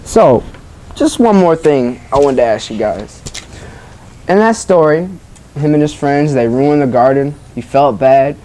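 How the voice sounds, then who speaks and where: Casting: classroom teacher, mid-pitched voice, storybook read-aloud and prompting questions for young pupils. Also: lively intonation, a little slow, mellow. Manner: prompting children with questions, acknowledging their answers.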